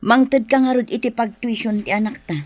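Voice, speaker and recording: A woman's voice: fairly high pitch, fairly even intonation, a little fast, natural voice. An actress playing an exasperated farm wife and mother in a Philippine radio drama, scolding and pleading with her husband. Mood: worried, insistent.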